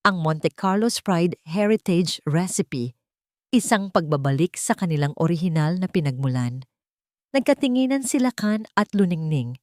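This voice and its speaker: A Filipino woman narrates a scripted drama in a lower-pitched voice. The recording is dry and close, with little room sound. Her delivery is that of a dramatic storyteller. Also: no echo.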